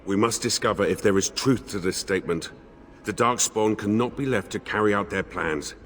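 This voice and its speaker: A man's voice: fairly low pitch, a bit bright, natural voice. An actor playing a solemn spirit in a dead man's body, speaking formally and stiffly in a video game. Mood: formal, deadpan. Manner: formal, stiff, measured speech.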